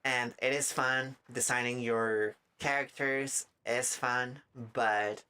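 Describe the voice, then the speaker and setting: A streamer with a raspy, dry-throated voice, chatting casually in a halting way and often breaking off mid-thought. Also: medium pitch, fairly even intonation, bright, forceful tone. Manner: halting, self-interrupting, trailing off mid-thought. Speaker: live streamer. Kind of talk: casual rambling chat while gaming, giving art advice.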